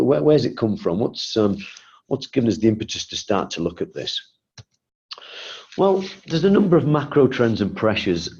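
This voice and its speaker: Congested male presenter, deep-ish voice, explaining and posing questions to his audience, dull lo-fi web audio. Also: darkish tone. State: congested from a cold. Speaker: guest presenter. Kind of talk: webinar presentation.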